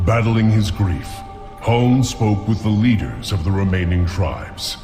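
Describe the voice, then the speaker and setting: A man narrating a fantasy game cinematic in a solemn, measured storytelling manner. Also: lowish voice, animated, long reverb tail. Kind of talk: scripted epic narration. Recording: game cinematic with music behind.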